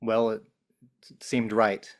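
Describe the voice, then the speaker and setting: A man's voice: lowish voice, completely flat delivery, takes it slowly. A man acting as a shaken, guilt-ridden, cornered character in a script table read, hesitant and stammering. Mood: shaken, guilty. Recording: music playing behind the voice.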